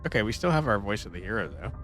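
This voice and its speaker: Expressive, low-voiced man playing a game. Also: rapid-fire. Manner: wry, reactive, expressive. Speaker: gaming video host. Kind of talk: casual playthrough commentary. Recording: faint game music behind voice. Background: faint game music.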